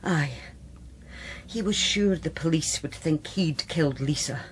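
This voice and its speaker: An actress playing a brittle, anguished sister in a radio drama, with a Scottish accent and a halting, agitated, bitter delivery. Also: female voice, low voice, a little hiss or hum. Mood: distressed, bitter. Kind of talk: naturalistic radio drama acting.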